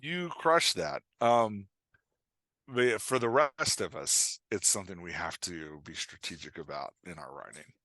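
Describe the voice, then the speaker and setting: Low, bright-voiced man explaining casually. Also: lively intonation, no room sound. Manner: informal, halting, filler-heavy, emphatic with check-in questions. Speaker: writing coach, podcast guest. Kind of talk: casual podcast interview, explaining.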